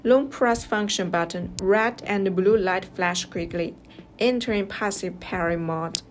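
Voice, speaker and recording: A woman's voice: medium pitch, unhurried. A woman narrating a scripted product review step by step as the reviewer. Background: music.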